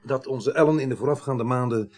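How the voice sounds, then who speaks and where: An actor playing a businesslike, calmly sceptical police investigator in a radio drama, formal and measured in his questioning. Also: medium pitch, lightly acted. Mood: brisk, sceptical. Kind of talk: 1980s Dutch radio drama acting.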